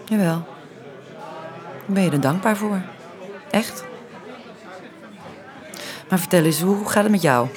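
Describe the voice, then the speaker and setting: A woman's voice: fairly low pitch, slow, long pauses, a faint crowd. An actress playing an earnest, direct, sensitive young woman in intimate radio play dialogue.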